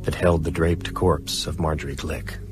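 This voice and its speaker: An actor playing a grave narrator, telling a horror tale in sombre, measured first-person narration. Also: male voice, extremely low pitch, little pitch movement. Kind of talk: scripted first-person narration, radio drama. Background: music.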